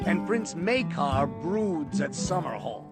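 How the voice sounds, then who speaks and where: A man puts on a blunt, scornful hedge knight from a fantasy audiobook. The delivery is theatrical and slow, with lively swings in pitch, and loud music plays behind it. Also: exaggerated voice. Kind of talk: audiobook storytelling with character voices.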